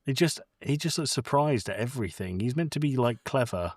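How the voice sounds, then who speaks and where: A man's voice: mid-range voice, expressive, brisk, dry recording, studio quality. A British man co-hosting a podcast, talking casually about films in a joking, blunt manner. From Britain. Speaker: podcast co-host. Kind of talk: casual film discussion.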